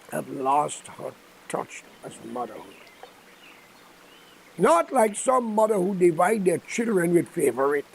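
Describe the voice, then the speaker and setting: A man's voice: high-pitched, creaky, slight background noise, poor audio. An older man with a Guyanese accent giving an impromptu political address in a preachy, admonishing, emphatic manner. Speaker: political commentator.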